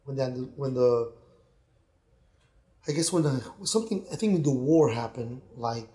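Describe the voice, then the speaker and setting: A man's voice: mid-range voice, animated, a little slow, dark tone. A man co-hosting a podcast, talking in a rambling, casual way with frequent restarts. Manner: rambling, with many restarts and put-on quoted voices. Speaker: podcast co-host. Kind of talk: casual chat. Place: Miami.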